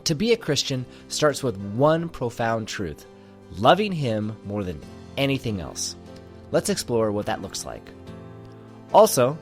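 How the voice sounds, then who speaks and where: A man reading a scripted introduction to a church message. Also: medium pitch. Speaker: church announcer.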